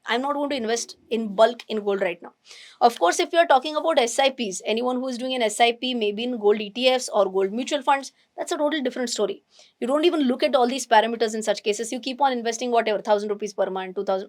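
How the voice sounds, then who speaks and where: A woman with an Indian English accent, hoarse from nearly losing her voice, explaining gold investing to camera in an animated, emphatic way. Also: fairly high pitch. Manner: animated, emphatic, thinks aloud with restarts. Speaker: finance educator.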